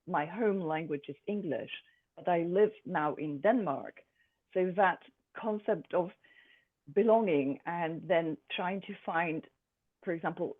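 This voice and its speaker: A speaker born in Poland, an artist presenting her own work in a thoughtful, halting way with many pauses and fillers. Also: medium pitch. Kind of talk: art presentation to a group. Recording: tinny phone-like sound.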